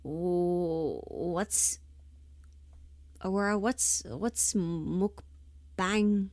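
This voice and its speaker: A woman's voice: on the low side, drawn-out words, darkish tone, dry recording. A woman chatting casually on a livestream, scattered and giggly, thinking aloud as she goes, close to the mic. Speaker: livestream host and audio performer.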